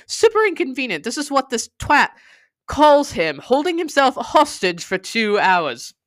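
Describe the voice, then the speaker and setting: Sardonic podcast host reading their story all dramatic and scornful, clean crisp voice, no room sound. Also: mid-range voice, a bit bright, no echo, studio quality. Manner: theatrical, sardonic, verbose.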